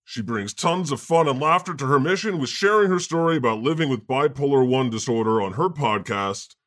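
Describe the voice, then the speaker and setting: A man hosting a comedy podcast in the persona of God, joking in a deadpan, self-mocking way. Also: mid-range voice, brisk, dry recording, clean studio sound. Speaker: podcast host.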